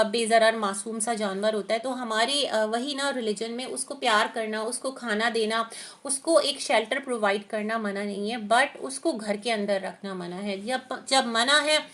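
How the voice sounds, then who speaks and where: A woman reaction vlogger with a sore throat, giving opinionated, explanatory commentary with frequent hesitant pauses. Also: fairly high pitch, fairly bright tone.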